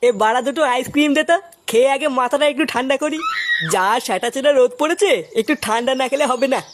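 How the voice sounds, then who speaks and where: A man's voice: very high voice, quick pace. A man voicing a brash, pushy local loafer who argues and bullies, in a comedy cartoon. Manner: pushy, swearing, argumentative. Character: brash, foul-mouthed local loafer demanding things, bullying.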